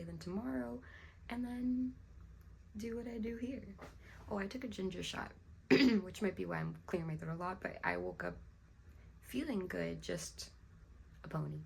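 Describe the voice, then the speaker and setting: A young woman, a little hoarse, musing aloud in a casual vlog and clearing her throat often. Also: mid-range voice, a little mellow, slight background noise, poor audio. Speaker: vlogger. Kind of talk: casual reflective vlog talk.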